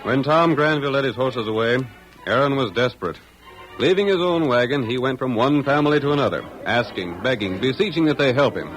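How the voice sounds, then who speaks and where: A man's voice: medium pitch, animated, fairly quick, over music, natural voice, poor audio. A man giving dramatic scripted narration in the style of an old-time radio western.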